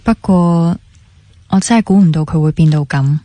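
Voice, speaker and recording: A mellow, lowish voice in a very dry recording: an actress playing a thoughtful, forgiving young woman. She talks naturally in Hong Kong Cantonese, as in a radio drama confidence. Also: normal speed, no room sound, close to an everyday voice. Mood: puzzled.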